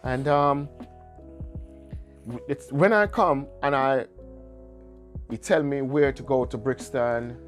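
Jamaican man, mid pitch, easygoing storyteller, repeats lines, faint music under the talk. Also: slow pace, long pauses. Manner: relaxed storytelling, repeats phrases. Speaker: guest. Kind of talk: casual chat.

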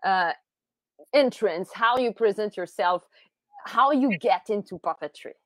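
A French Canadian woman from Montreal, a native French speaker, hosting a live podcast, enthusiastic and halting, with many restarts. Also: medium pitch, lively intonation, no room sound. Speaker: podcast host. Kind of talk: live-streamed interview podcast.

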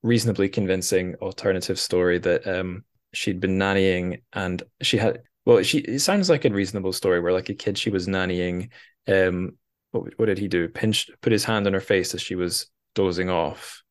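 A man's voice: low-pitched, normal speed. A man hosting a podcast, chatting casually about fiction and feeling for his words as he retells it with some animation. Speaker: podcast host. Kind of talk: casual book discussion.

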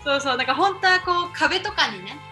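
Bright, higher-pitched woman co-hosting. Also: lively intonation, fairly quick, soft background music. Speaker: podcast co-host; writer. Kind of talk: casual chat.

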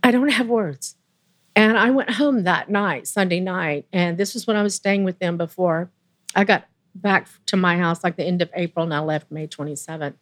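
A woman with a low voice telling a personal story as an interview guest, earnest and emotional, with pauses and restarts.

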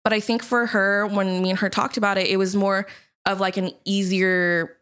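A young woman from the United States co-hosts a podcast in an easygoing chat. She talks at a medium pitch, laughs often, and fills her sentences with "like" and "you know". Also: flat delivery, moderate pace, a bit bright, clear, resonant tone. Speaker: podcast co-host. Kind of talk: casual chat.

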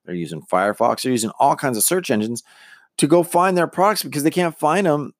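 A man's voice: fairly high pitch, a little fast, no echo, studio quality. A middle-aged man hosting a podcast, talking casually and earnestly with lots of fillers and restarts. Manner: rambling, many fillers and restarts; earnest, motivational. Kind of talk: casual monologue on personal branding.

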